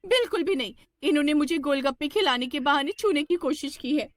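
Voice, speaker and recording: A woman's voice: sits high, fairly quick, no room sound, voice pushed up, lightly acted. A woman voicing a scheming, demanding village woman with a dramatic, indignant manner in a cartoon story. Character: scheming, shrill village woman falsely accusing a snack seller.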